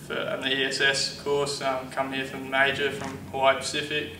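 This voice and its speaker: A young man from Australia with an Australian accent, a college soccer player giving interview answers that trail off softly. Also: mid-range voice, monotone, a little fast, noisy background. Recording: echoey room, mic far off.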